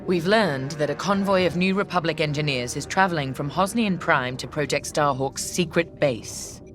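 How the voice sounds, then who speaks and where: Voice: mid-range voice, normal speed, music in the background, lightly acted. An actor playing a stern, controlled Imperial commander in a space game, giving formal mission briefings in a clipped, authoritative way. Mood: cold, commanding.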